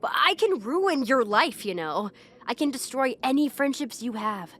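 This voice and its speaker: A woman playing a bossy, easily flustered teenage popular bully in an audio roleplay, exasperated and pushy, halting when embarrassed. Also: high voice, animated, fairly bright tone, slight background noise. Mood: threatening, angry. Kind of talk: acted ASMR roleplay monologue to the listener.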